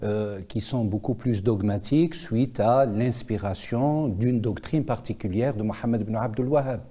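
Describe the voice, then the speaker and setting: A man in his forties giving explanations as an expert interview guest, with formal, hesitant, deliberate phrasing. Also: lowish voice, mellow. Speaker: guest expert, Islamic scholar. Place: TV studio, indoors.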